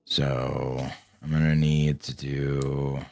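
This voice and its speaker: A man casually talking to himself while playing a game, low-key and mumbling, with a voice he says people call soothing. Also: level tone, extremely slow, gravelly, a little mellow, dry recording. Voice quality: voice called soothing. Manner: low-key, mumbling, swears when frustrated. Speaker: streamer. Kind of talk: casual gameplay commentary.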